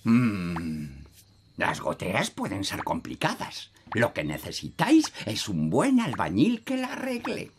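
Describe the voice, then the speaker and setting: A man voicing a smug, wise old elf in a children's cartoon, pompous and deliberate. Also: fairly low pitch, wildly swooping pitch, a little hiss or hum. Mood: self-important. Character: smug, wise old male elf, know-all builder. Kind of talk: animated children's cartoon dub.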